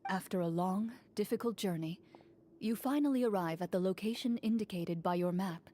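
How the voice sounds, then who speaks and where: A woman playing a calm, reserved duelist. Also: mid-range voice, close to an everyday voice. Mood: narrating. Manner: calm, measured. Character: composed female duelist, reserved and serious. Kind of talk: game dialogue and storybook narration.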